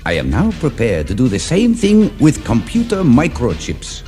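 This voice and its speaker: Suave, swooping storybook villain. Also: male voice, mid-range voice, wildly swooping pitch, normal speed, mellow. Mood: smooth, businesslike. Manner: formal, falsely polite. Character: suave, menacing male industrialist villain in his late thirties. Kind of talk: audio storybook acting. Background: background music.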